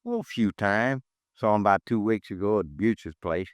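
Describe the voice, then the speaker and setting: Man voicing a street-smart gambler pal in an old radio drama, low, lively, smooth voice. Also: normal speed, dry recording, clean studio sound, lightly acted. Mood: easygoing. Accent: American. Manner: slangy, teasing, lively. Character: streetwise male gambler friend, easygoing. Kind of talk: 1950s radio drama acting.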